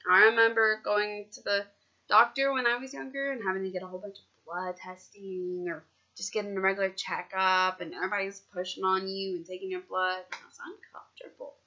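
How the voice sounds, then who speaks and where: Female storybook reader, bright tone, expressive and informal, casual asides, slightly dull lo-fi recording. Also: medium pitch, a little fast. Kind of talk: children's picture-book read-aloud with casual asides to teachers.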